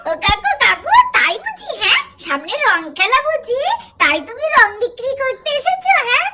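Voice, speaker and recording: A woman's voice: extremely high pitch, big pitch swings, normal speed, heavy background noise. A woman voicing an eager, stubborn little girl doll in a cartoon story, childish and wheedling, repeating her words. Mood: excited.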